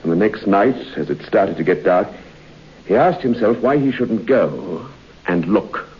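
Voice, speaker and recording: A man in a vintage radio drama telling a grim story in a grave, measured way. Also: on the low side, lively intonation. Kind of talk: 1950s radio drama acting. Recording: dull old radio broadcast with hiss.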